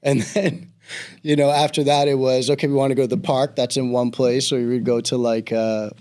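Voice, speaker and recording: A middle-aged man from the Bahamas, a real estate broker chatting as a guest in an interview, telling stories in a relaxed, rambling way. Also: mid-range voice, a little fast, clear, resonant tone. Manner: relaxed, rambling storyteller, laughs easily. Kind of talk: casual interview conversation.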